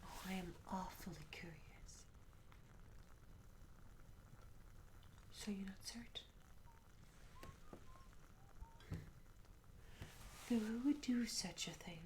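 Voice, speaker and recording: A woman playing a curious, polite medieval tavern maid in an ASMR roleplay, speaking in a soft, breathy whisper with gentle, hesitant, old-fashioned courtesy. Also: mid-range voice, fairly quick, natural voice, rough recording. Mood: hushed, curious. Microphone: close ASMR microphone. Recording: close whisper over audible ambience noise. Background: candlelit tavern ambience.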